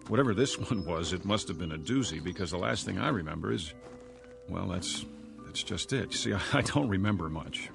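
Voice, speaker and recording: Deep-voiced, gravelly, bewildered man over music. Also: animated, heavily acted. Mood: puzzled, musing. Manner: halting, stammering, self-questioning. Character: bewildered amnesiac man, rambling and nervously chatty. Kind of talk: radio drama first-person monologue.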